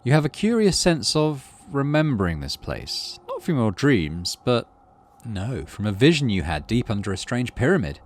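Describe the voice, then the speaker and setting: A man's voice: medium pitch, very animated, normal speed. A man, middle-aged, doing playthrough commentary and reading game text aloud expressively. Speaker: gaming channel host.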